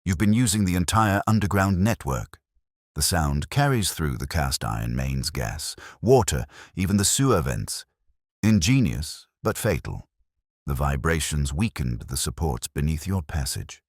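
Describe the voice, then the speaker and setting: A man's voice: rumbling low, sing-song, a little slow, no echo, studio quality, heavily acted. A man voicing a coolly precise, commanding Victorian detective with curt, cerebral delivery in an audiobook. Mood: grave, admiring. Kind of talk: audiobook character dialogue.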